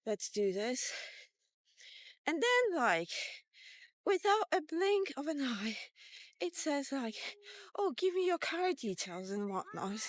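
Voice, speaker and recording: A woman's voice: high voice, sing-song, slow pace, pauses often, no room sound. A woman in her fifties rambling through a casual vlog rant in a sarcastic, sweary, conversational way. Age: 50s. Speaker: vlogger. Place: outdoors on a walk.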